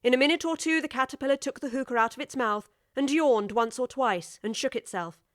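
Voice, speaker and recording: A woman narrating a scripted storybook audiobook in a measured, articulate way. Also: high voice, a bit bright, no echo, clean studio sound.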